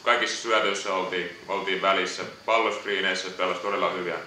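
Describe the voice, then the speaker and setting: A basketball player answering postgame questions, hesitant and repeating words. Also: male voice, low voice, fairly even intonation, sharp tone, slight background noise. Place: echoey press room. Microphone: distant room mic. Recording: echoey room audio from far off.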